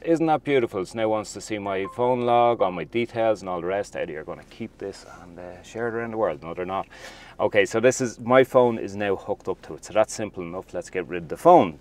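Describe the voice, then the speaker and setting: A man's voice: lowish voice, animated, a little fast, darkish tone. A man demonstrating a car's infotainment system from inside a parked car, chatty and enthusiastic as he talks to viewers. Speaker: car reviewer. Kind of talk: casual video walkthrough.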